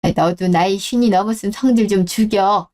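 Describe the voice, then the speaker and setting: A woman voicing a gentle, self-effacing elderly mother in a radio drama who speaks in a soft, apologetic, consoling way. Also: medium pitch, a little fast, voice pushed down, natural voice. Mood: scolding. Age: older.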